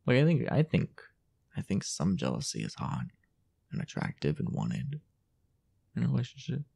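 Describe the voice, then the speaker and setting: A man playing a possessive, teasing young boyfriend in an improvised intimate roleplay audio, coaxing in a husky, breathy, hesitant murmur. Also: lowish voice, wildly swooping pitch, warm tone, full character voice. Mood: hesitant, jealous. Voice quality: husky, gravelly, often breathy or whispered. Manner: hesitant, coy, coaxing murmur with drawn-out pauses.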